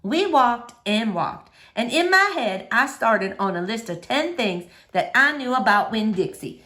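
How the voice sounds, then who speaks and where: High, very expressive woman storyteller. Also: measured pace. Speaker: storybook reader. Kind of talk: expressive read-aloud narration.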